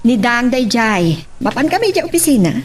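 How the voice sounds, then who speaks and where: A woman with an Ilocano accent acting a lively woman in a domestic romance radio drama. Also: a little high, normal speed, a little hiss or hum. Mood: busy, chatty.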